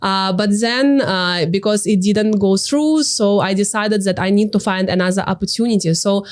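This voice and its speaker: A woman's voice: medium pitch, normal speed. A young woman from Russia, a course mentor, telling her life story in a casual interview, with frequent fillers and restarts. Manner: hesitant, many fillers and restarts.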